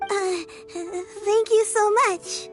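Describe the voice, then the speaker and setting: Young game girl, extremely high pitch, polite, shy, earnest, music behind. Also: steady tone, very slow, exaggerated voice. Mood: polite, thoughtful. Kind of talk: video game voice acting. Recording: background music.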